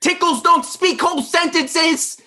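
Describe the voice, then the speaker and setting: A man's voice: very high voice, a little slow, sharp tone, heavily acted. A man in his thirties acting an irritable, sarcastic, paranoid character in a table read over a video call. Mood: incredulous.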